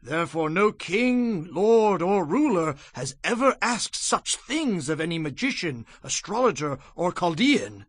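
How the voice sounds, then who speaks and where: High, husky, anxious royal servant. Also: male voice, sing-song, unhurried, few pauses, dry recording, exaggerated voice. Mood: anxious protest. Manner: pleading, theatrical. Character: anxious, deferential male servant of a royal court. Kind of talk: dramatized audio Bible acting.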